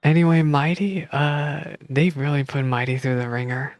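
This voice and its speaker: A man's voice: a little high, steady tone, moderate pace, no echo. A man streaming a game, reading story text aloud and reacting casually as he goes.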